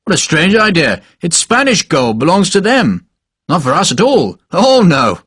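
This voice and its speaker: A man voicing a short, pious older cavalry major who speaks gruffly and brusquely in clipped commands. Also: sits high, wildly swooping pitch, normal speed, fairly bright tone, no room sound, clean studio sound, voice pushed up, heavily acted. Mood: scornful amusement. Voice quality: gruff, brusque, barking laugh. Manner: clipped commands, fervent and impatient. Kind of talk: audiobook character voice.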